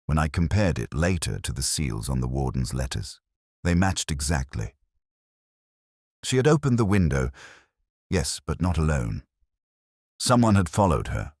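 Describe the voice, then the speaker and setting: Man playing a very deep, brooding detective narrator. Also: no room sound, clean studio sound, full character voice. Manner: measured, solemn, introspective. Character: weary, melancholy, brooding male English police detective. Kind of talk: slow literary first-person detective narration, radio drama.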